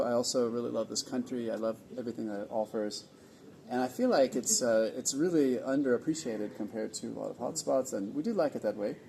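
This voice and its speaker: A man from California hosting a casual interview at an event venue, easygoing and rambling with pauses. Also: medium pitch, creaky, dark tone, slight background noise. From California, USA. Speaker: host of a nomad community event.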